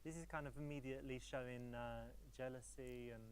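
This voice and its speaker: A woman's voice: a bass voice, fairly even intonation, fairly quick, a little hiss or hum, poor audio. A woman giving scripted commentary in a game.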